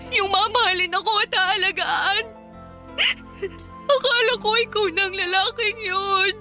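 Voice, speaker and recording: A worn-out, embittered young mother in a Filipino radio drama. Her voice is very high and bright, reproachful and emphatic with tears in it. Music plays under her. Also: a little slow, exaggerated voice. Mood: tearful. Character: embittered, exhausted live-in partner and young mother leaving.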